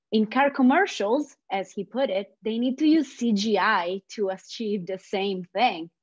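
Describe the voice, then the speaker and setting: Slow Italian-accented woman on dull, dry audio. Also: medium pitch. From Italy. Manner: slow, careful, gentle reading. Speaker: project coordinator reading a poem. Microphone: video call.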